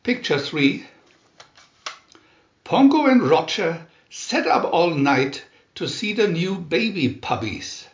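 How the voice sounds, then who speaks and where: Slow, lively man reading a storybook. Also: high-pitched. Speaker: storybook narrator. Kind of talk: scripted children's story reading. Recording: roomy, echoey, dull sound.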